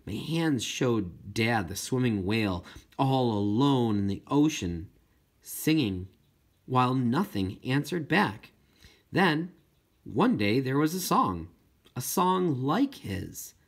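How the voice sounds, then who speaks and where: Expressive, husky male audiobook narrator. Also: medium pitch, takes it slowly. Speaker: audiobook reader. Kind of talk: expressive first-person children's novel narration.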